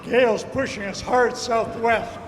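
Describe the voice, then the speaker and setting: A man's voice: very high voice, fairly even intonation, unhurried. A man playing a dutiful ship's officer in a radio drama, reporting in a clipped, deferential way. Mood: grim, dutiful. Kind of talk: stage radio drama acting. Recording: reverberant hall, faint background noise.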